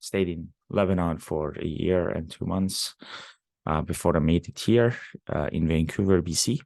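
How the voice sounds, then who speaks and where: A young man from Syria speaks in a low, gravelly register, close to the mic in a dry sound. He talks casually as a podcast guest, halting often with fillers and false starts. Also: darkish tone, no echo. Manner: halting, many fillers and restarts. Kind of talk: casual interview talk.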